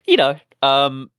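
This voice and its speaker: Man, dry close sound, medium pitch, casual review talk, halting delivery, wry, swears freely. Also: a bit bright, smooth, no room sound. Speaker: podcast co-host reviewing audio dramas. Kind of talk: casual discussion.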